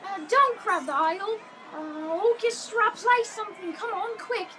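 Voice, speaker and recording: A woman's voice: extremely high pitch, unhurried. A woman playing a music-hall master of ceremonies in a radio play, addressing the crowd with grand, declamatory patter. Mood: flustered, alarmed.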